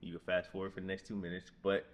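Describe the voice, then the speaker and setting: A man in his mid-twenties, talking casually to camera as a vlogger, rambling and repeating himself in a relaxed way. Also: deep voice, fast. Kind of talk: casual vlog talk to camera. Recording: echoey room with background noise.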